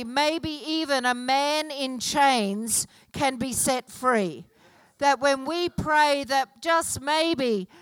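A woman preaching a sermon to a congregation, slow and emphatic, building her points through repeated phrases. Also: sits high, fairly bright tone, dry recording, rough recording. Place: church hall.

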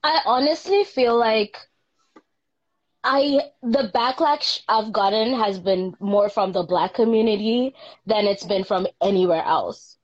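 A woman's voice: medium pitch, a little slow, highs cut off. A young woman co-hosting a casual discussion. She speaks candidly and chattily, often backing the other speaker with "mm-hmm".

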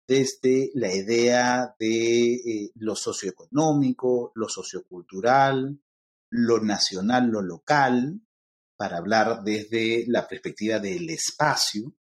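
Peruvian man explaining at length. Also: mid-range voice. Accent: Peruvian Spanish. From Peru. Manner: thoughtful, explanatory, frequent "eh" and "este" fillers. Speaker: guest, lecturer. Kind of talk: podcast interview.

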